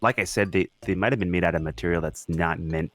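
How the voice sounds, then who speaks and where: Young male podcast co-host, deep voice, dry close sound, slangy and loose with swearing. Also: quick pace, a bit bright, no room sound. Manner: slangy, mildly profane. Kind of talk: casual chat.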